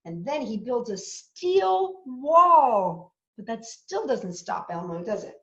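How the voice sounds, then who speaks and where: High, animated woman storytelling for children. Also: takes it slowly. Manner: sing-song, exclaiming. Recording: roomy, dull lo-fi sound with music.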